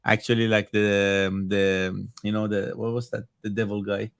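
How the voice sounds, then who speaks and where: A man from Iran whose native language is Farsi, chatting casually as a podcast guest in halting, repetitive English. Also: lowish voice, flat delivery, gravelly, clean tone, no echo, studio quality. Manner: halting, repetitive phrasing as a second-language speaker. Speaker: podcast guest, video game collector. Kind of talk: casual chat.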